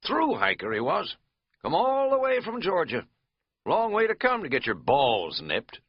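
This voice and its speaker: A man voicing a blunt, folksy rural innkeeper who tells tall tales in a raised, loud voice. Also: fairly high pitch, huge pitch swings, unhurried, slightly rough, no echo, muffled recording, pitched above the performer's own voice, full character voice. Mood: gossipy.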